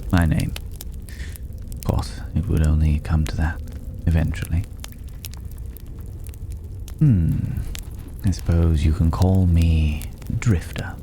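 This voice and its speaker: Man playing a very deep, teasing mysterious drifter. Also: fairly even intonation, mellow. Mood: knowing, wry. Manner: unhurried, wry, condescending, with drawn-out pauses. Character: regal, teasing male drifter, secretly a dragon. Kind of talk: intimate ASMR roleplay acting. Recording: clearly audible background noise.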